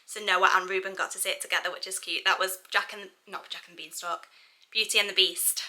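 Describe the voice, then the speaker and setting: A woman's voice: medium pitch, fairly even intonation, a little fast, sharp tone. A young British woman chatting informally in a family vlog, thinking aloud as she goes. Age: young adult. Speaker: family vlogger and mother. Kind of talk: casual vlog chat.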